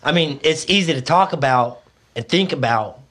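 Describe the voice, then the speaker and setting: A man hosting a casual podcast chat, laid-back and swearing freely, with lots of quick agreement. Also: fairly high pitch, expressive, fairly bright tone. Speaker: podcast host.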